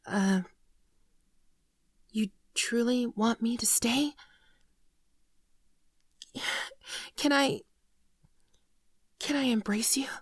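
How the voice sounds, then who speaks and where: A woman playing a gentle, naive shapeshifting alien in an ASMR roleplay, speaking softly, hesitantly and tenderly, often near a whisper, close to the microphone. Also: mid-range voice, no room sound. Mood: hopeful, timid. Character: gentle shapeshifting female alien, naive, earnest, shyly affectionate. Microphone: close microphone, dry sound.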